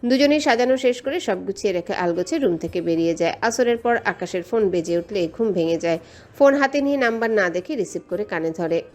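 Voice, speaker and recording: A woman's voice: medium pitch, lively intonation, a little fast, a little mellow. A woman reading a scripted romantic story as its narrator.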